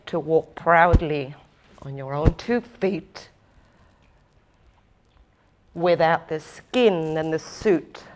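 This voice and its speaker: A woman giving a slow, earnest, deliberate talk at a conference session, stressing her points by repeating them. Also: sits low, a little hiss or hum. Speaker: First Nations speaker and former government advisor.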